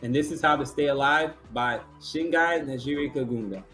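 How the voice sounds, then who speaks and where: A man's voice: medium pitch, normal speed, fairly bright tone, soft background music. A man hosting an awards ceremony, announcing categories, finalists and winners. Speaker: awards show host.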